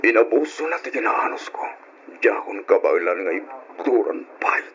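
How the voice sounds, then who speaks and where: Wounded, resolute husband, muffled radio sound. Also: male voice, fairly low pitch, animated, normal speed, a bit bright, slight background noise. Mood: firm, pained. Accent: Ilocano. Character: aggrieved betrayed husband, resolute and pained. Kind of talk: Ilocano radio drama acting. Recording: muffled, dull old radio drama recording.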